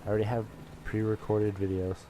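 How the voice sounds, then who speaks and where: A man who is sick talks casually over his gameplay. His voice is very low and his speech halting and rambling. Game sound and noise run behind him. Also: level tone. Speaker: gamer. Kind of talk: casual gaming commentary.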